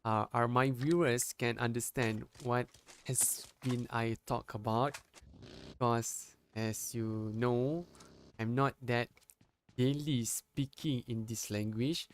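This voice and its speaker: A young Malaysian man, a native Malay speaker, doing live esports commentary in English, halting with restarts and lifting his voice when fights break out. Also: fairly even intonation, a little hiss or hum. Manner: halting, with frequent restarts and fillers; rises in pitch and volume at fights. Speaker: esports caster.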